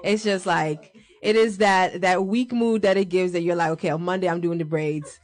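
A woman hosting a casual radio interview show from a studio, bubbly and quick to laugh. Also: fairly low pitch, fairly even intonation.

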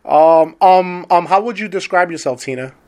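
A man's voice: high-pitched, moderate pace, a little mellow. Chatty man asking rambling questions. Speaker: interview host. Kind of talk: casual interview.

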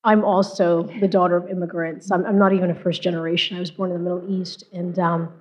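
A woman interviewer asking measured, careful questions in a broadcast interview. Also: fairly low pitch, level tone, low-quality recording.

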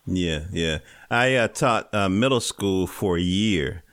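A man's voice: sits low, lively intonation, slow pace, fairly bright tone, dry recording. A man hosting a casual interview from a small home studio, chatty and laid-back with a joking streak. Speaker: podcast host. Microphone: home studio mic.

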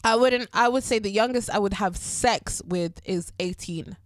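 A woman's voice: mid-range voice, moderate pace, no echo, clean studio sound. A woman in her twenties with a London accent, chatting casually in a candid, slangy way. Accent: London English. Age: 20s. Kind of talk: casual chat.

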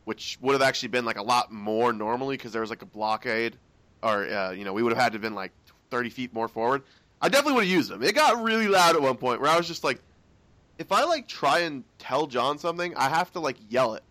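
A young man in casual chat, excited and rambling, swearing freely. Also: medium pitch, fast, dry recording.

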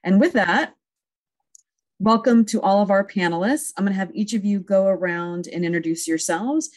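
A woman's voice: mid-range voice, normal speed. A woman hosting a virtual conference panel over a video call, friendly and talking with frequent fillers. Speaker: conference moderator.

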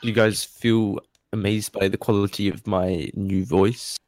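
A man's voice: lowish voice, flat delivery, measured pace, darkish tone, dry recording. A teenage man, hosting a casual chat among friends on a desk microphone, joking and playful. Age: teens. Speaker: podcast host.

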